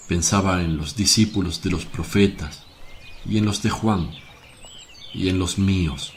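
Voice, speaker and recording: A man acts out a grave and gentle sorrowful teacher in a religious radio play. The voice is very low and slow, measured phrase by phrase, with faint birdsong behind it. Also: slight background noise. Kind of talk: devotional radio play acting. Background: birdsong and outdoor ambience.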